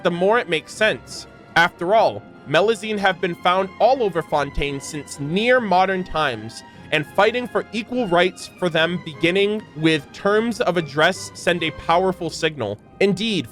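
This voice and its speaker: A man with a fairly high voice talks over faint background music in a casual reaction style. He is enthusiastic and slangy, moving between reading lore text aloud and commenting on it as a content creator. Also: fairly bright tone.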